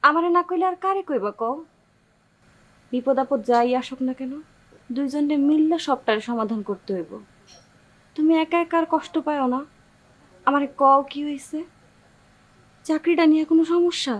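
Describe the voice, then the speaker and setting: Actress as high-voiced young Bangladeshi village wife. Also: female voice, moderate pace, long pauses, lightly acted, low-quality recording. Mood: concerned, coaxing. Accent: rural Bangladeshi. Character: gentle, supportive young village wife and daughter-in-law. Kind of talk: TV drama acting in dialect.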